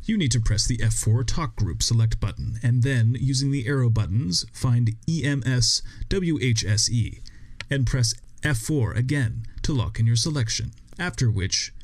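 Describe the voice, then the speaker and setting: A man reading a scripted instructional narration in a measured, step-by-step way as a training video narrator. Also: mid-range voice, lively intonation, a little mellow.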